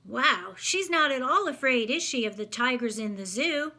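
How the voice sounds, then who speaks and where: A woman's voice: sits high, expressive, moderate pace, sharp tone. A woman reading a picture book aloud to children, expressively, stopping to ask them simple questions. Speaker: children's read-aloud storyteller.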